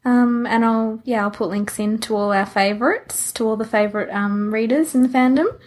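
A woman's voice: on the high side, moderate pace. A woman co-hosting a podcast in a casual, conversational style. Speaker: podcast co-host. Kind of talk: casual chat.

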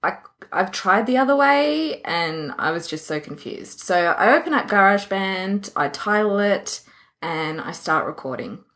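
Animated, self-deprecating woman podcaster. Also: medium pitch, a little slow. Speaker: podcast co-host. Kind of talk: casual podcast chat.

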